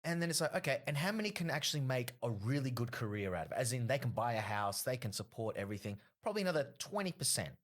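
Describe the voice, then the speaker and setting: A man's voice: mid-range voice, very animated, fast talker, hardly any pauses. Casual aussie guy on a podcast, rambly storyteller, filler words everywhere. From Australia. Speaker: comedian guest.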